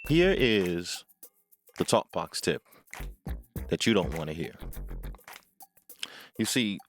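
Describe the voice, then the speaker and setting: A man with a fairly low, very lively voice gives informal instruction as a tutorial host. He phrases things deliberately and with emphasis, often tagging on short rhetorical questions. Music plays behind his voice. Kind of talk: informal instructional talk to camera.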